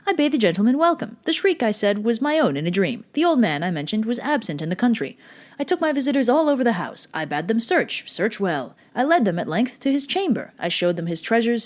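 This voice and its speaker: The voice is a manic, nervous killer from a Gothic horror tale, acted out by a woman. It has a soft-edged, mellow tone at an ordinary female pitch. The delivery is theatrical and intense, with careful old-fashioned phrasing that insists on sanity. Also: natural voice. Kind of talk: dramatic reading of a Gothic horror story.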